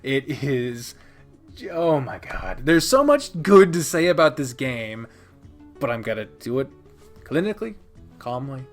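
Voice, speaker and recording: A man with a mid-pitched voice presents a countdown in a casual, enthusiastic style. He leans hard on his words for dramatic effect and drops in playful asides and swearing. Music plays softly behind him. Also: sing-song, unhurried. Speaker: host of a game video channel. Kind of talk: casual, enthusiastic top-ten countdown commentary.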